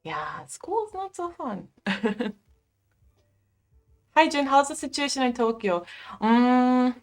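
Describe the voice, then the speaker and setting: A young woman in her twenties chatting casually on a home livestream, reading viewer comments, full of "like" fillers and quick laughs. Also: high-pitched, sing-song. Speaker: livestream host. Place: small bedroom at home.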